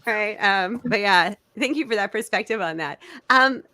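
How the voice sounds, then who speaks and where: A woman hosting a casual discussion as a comedian, talking in an animated way and acting out quoted remarks. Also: medium pitch, moderate pace, no room sound. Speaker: host and stand-up comedian.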